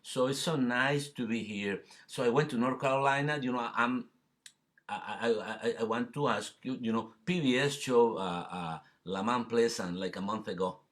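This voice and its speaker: A man from El Salvador with a Salvadoran Spanish accent, chatting casually in a halting, repetitive, rambling way. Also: medium pitch, a bit bright. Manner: halting, repetitive, peppered with "you know". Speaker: guest singer-songwriter. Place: live video call.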